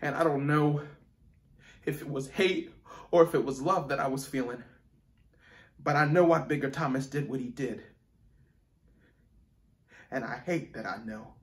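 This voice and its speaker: A man's voice: a little high, natural voice. An actor playing an angry, wounded teenage boy confronting his absent father in an intense, emotional theatrical monologue. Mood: raging, anguished. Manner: intense, emotional, swinging from hushed to explosive.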